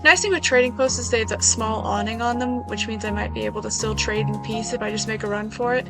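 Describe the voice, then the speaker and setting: Slightly hoarse woman chatting over gameplay. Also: a little high, fairly even intonation, fast, music in the background. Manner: chatty, wry asides to herself. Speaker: gaming video creator. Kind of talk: casual gameplay commentary.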